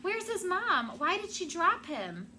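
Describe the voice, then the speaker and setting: A woman voicing an eager, caring young girl from a storybook, with an urgent, expressive manner. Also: extremely high pitch, unhurried, slight background noise, pitched above the performer's own voice, full character voice. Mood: urgent, upset.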